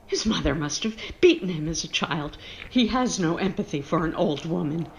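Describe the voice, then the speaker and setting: A woman's voice: fairly low pitch, animated, normal speed, a little hiss or hum. Casting: actress for elderly female vagrant in a horror radio play, plaintive, indignant, given to muttering to herself. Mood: weary muttering. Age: older. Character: weary, indignant homeless old bag lady who mutters to herself. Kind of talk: audio horror drama acting.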